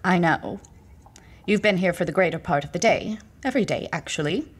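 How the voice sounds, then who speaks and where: A woman's voice: on the low side, lively intonation, brisk, husky. A woman playing a stern, devoted librarian. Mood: cool. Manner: stern, reproachful. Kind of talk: audio horror drama acting.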